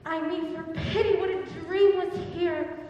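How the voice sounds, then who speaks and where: A woman plays a gentle, devoted young maiden, speaking verse modestly in a high voice. The recording is distant and echoey, with a soft hum of ambience in the background. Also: steady tone, a little slow, dull audio. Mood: frightened. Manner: modest and gentle, rising to frightened cries. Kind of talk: Shakespearean stage acting. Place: large hall or theatre stage. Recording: distant, echoey stage recording in a large hall.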